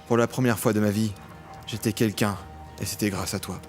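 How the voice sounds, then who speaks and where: Un homme jouant un jeune homme grave et mélancolique. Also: male voice, on the low side, fairly even intonation, a little fast, a little mellow, faint music behind, natural voice. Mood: wistful, solemn. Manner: solemn, literary, nostalgic. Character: brooding young man, former street orphan, recalling childhood with emotion. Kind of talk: dramatic first-person audio drama narration.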